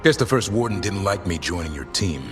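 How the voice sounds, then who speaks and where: A wary warrior scout for a fantasy game. His pitch is fairly low, his phrasing clipped and plain, dutiful in tone, with soft music behind. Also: lively intonation, a little fast. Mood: wary, concerned.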